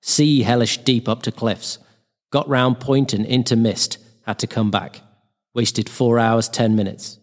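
A man's voice: mid-range voice, steady tone, unhurried, close to an everyday voice. Man reading as a clipped wartime airman, heavy reverb. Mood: wry, weary. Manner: clipped, telegraphic phrasing. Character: male wartime airman reading his clipped, wry diary. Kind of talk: diary reading. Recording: long hall-like reverb.

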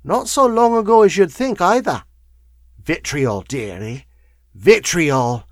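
A man's voice: a little high, animated, takes it slowly, no room sound, studio quality, voice pushed up. A man voicing a bent, cunning middle-aged slum woman with a wheezy voice, in an audiobook story. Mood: bitter, mocking. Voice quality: wheezy, chuckling. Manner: wheedling, coarse, addresses listener familiarly.

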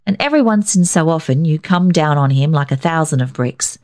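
A woman's voice: sits low, lively intonation, brisk, darkish tone. A woman voicing a frank, affectionate young mother in a storybook reading. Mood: earnest.

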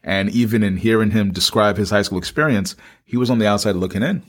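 A man's voice: fairly low pitch, quick pace. A man co-hosting a podcast, speaking conversationally to listeners and repeating phrases for emphasis. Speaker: podcast co-host.

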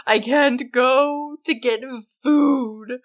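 A woman voicing a gigantic troll in pain for a storybook, pleading in a loud, slow, bellowing wail. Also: sits high, steady tone, voice pushed up. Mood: pained, distressed. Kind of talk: storybook character voice.

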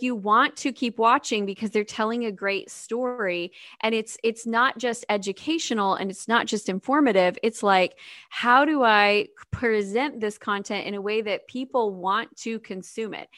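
A woman's voice: fairly high pitch, normal speed, fairly bright tone, no room sound, clean studio sound. A woman hosting a podcast, telling a story about her own work with lots of "like" and acted-out inner thoughts. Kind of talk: casual podcast chat.

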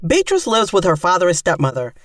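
Mother acted by a woman, lively pitch, chatty, firm, distant mic. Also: fast, no room sound. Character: firm, chatty Southern mother, middle-aged. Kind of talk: audio drama dialogue. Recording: dry but distant from microphone.

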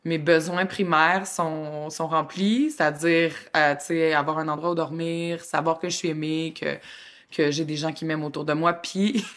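A woman with a Québécois accent co-hosting a podcast, chatting in a lively, expressive, colloquial way and laughing often. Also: deep voice, normal speed. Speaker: podcast co-host. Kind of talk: casual chat.

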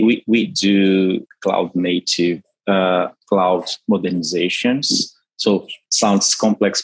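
A man from Brazil with a Brazilian Portuguese accent, a company CEO answering interview questions haltingly with frequent restarts. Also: sits low, husky, dry recording, poor audio. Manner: halting, many restarts and fillers.

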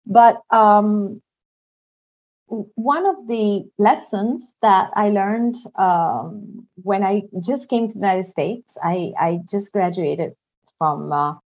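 The recording is a little dull and lo-fi. A woman with an Italian accent speaks as an interview guest at an average pitch. Her style is conversational storytelling. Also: takes it slowly, a little mellow. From Italy. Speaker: guest, international recruitment director. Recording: slightly dull, lo-fi sound.

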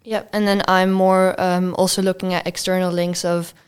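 A woman's voice: lowish voice, level tone, moderate pace, clear, resonant tone. A fifteen-year-old girl from Belgium, answering questions as a student guest in an interview.

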